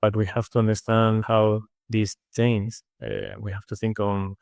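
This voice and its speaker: A man from Spain with a Spanish accent, answering interview questions in a halting way with many fillers and restarts. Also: lowish voice, little pitch movement, a little mellow, no echo. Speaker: interview guest. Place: studio. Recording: dry studio sound.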